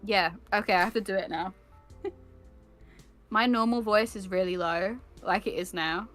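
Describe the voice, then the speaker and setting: A woman's voice: mid-range voice, normal speed, a bit bright, soft background music. A young British woman streaming, chatting casually and playfully, reading out viewer comments with frequent laughs. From Britain. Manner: playful, giggly, reads chat aloud. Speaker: vtuber streamer.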